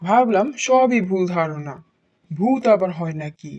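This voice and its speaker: An actor playing a stern cartoon father with a middle-height voice, who turns grave and hushed when he tells old stories. The voice is dry and close to the mic. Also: medium pitch, animated, unhurried, no echo, muffled recording, close to an everyday voice. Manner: stern, then hushed storytelling. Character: strict father haunted by a village ghost story. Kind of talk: cartoon voice acting.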